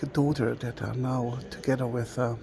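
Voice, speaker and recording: A man whose first language is Hebrew, guiding a slow, halting walking tour inside a large stone church, recorded on a camera mic. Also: medium pitch, fairly even intonation, gravelly, music in the background. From Israel. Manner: slow, halting, with many fillers. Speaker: tour guide.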